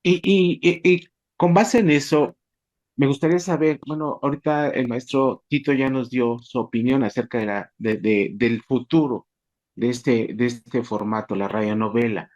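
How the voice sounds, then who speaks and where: A man hosting a panel, putting questions in a halting, searching way. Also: medium pitch, steady tone, darkish tone, muffled recording. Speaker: host, moderator. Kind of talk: panel interviewing.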